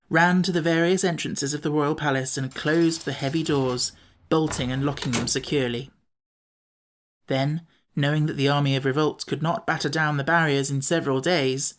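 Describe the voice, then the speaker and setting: A woman narrating a classic children's storybook with a lively, expressive reading. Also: low voice. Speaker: audiobook narrator.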